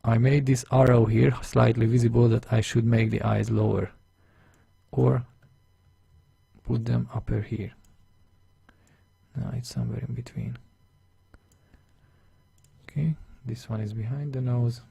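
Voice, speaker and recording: A man with a Hungarian accent talks through a digital drawing lesson, explaining each step as he goes. His voice is low-toned, gravelly and a little husky, with a fairly flat delivery. The recording is close and dry. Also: measured pace, long pauses, no room sound, lo-fi sound. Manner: thinking aloud step by step, chatty and self-deprecating. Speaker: illustration instructor. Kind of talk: screen-recorded drawing tutorial voice-over.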